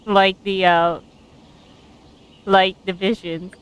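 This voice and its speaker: A woman with a smooth, clear voice of medium pitch comments on a game live. She is chatty and casual, reacting aloud to the story from close to the mic. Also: little pitch movement, slow pace, rough recording. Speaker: game streamer. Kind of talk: casual live gameplay commentary and reading along.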